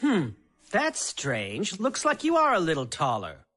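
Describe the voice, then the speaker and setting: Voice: medium pitch, wildly swooping pitch, a little slow, a bit bright, heavily acted. An actor voicing a playful, teasing father of a young boy in a children's cartoon dub.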